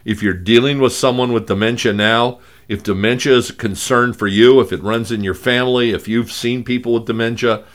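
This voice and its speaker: A man with a gravelly voice, hosting a talk show and speaking in a halting way with many fillers and restarts. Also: lowish voice, a bit bright. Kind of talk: conversational radio talk.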